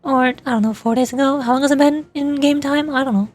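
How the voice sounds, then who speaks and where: A woman's voice: sits high, fairly even intonation, fast talker. A young woman streamer chatting in a playful, teasing way and laughing often. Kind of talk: casual chat while voicing game lines.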